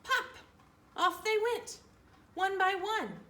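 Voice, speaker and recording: Roomy, high-pitched woman reading a storybook. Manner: animated, deliberate, singsong delivery. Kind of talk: expressive children's storybook read-aloud. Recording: roomy, distant mic, faint room noise.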